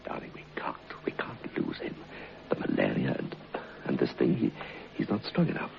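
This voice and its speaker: A sinister husband in a vintage radio horror play. He is very low-voiced and animated, dripping false concern. The old recording sounds muffled, with faint noise. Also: moderate pace, creaky, whispered, heavily acted. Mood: hushed, pleading. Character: scheming planter husband, falsely solicitous, coldly sinister.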